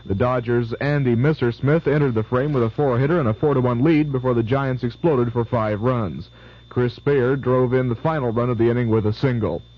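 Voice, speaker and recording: Brisk male radio newscaster. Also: medium pitch. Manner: brisk, even news delivery. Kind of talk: sports and weather news reading. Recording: lo-fi 1970s AM radio.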